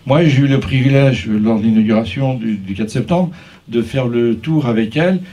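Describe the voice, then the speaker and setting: A man's voice: mid-range voice, normal speed, mellow. A man giving an informal, unscripted speech to guests, chatty and a little rambling. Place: exhibition opening, speaking to guests. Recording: faint background noise.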